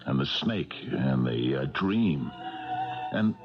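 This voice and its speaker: An actor playing a loyal, slow-talking pal who stammers through his story in a 1970s radio mystery. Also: extremely low pitch, expressive, music in the background, full character voice. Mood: reflective narration. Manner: hesitant, stammering, full of uhs. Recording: dull vintage radio broadcast.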